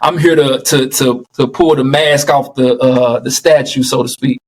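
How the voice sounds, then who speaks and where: A man's voice: medium pitch, fairly even intonation, normal speed. A man speaking as a podcast guest and vegan coach, earnest and emphatic, often repeating phrases for stress. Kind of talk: casual podcast conversation.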